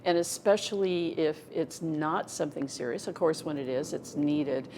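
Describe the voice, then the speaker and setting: A woman hosting an interview show, asking prepared questions in a warm, measured way. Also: low voice, a little hiss or hum. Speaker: interview host.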